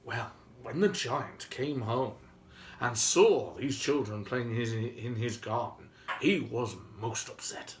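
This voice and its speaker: A man telling a story informally and whimsically, with dramatic pauses and emphasis. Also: mid-range voice. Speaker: storyteller and video host. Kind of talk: informal improvised oral storytelling. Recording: roomy, faint background noise.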